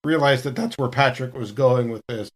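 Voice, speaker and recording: A man's voice: medium pitch, moderate pace. A man chatting casually as a podcast cast member, telling stories in a rambling way. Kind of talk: casual retrospective chat.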